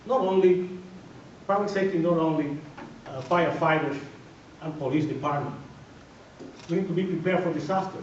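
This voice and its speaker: A man with a Dominican Spanish accent speaks in a fairly high voice, giving an emphatic campaign speech at a forum and driving his points home by repeating them. He sounds far from the microphone in a very echoey hall, and the radio recording is dull. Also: moderate pace, pauses often, slight background noise. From Dominican Republic. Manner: emphatic, repetitive, builds to firm declarations. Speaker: mayoral candidate.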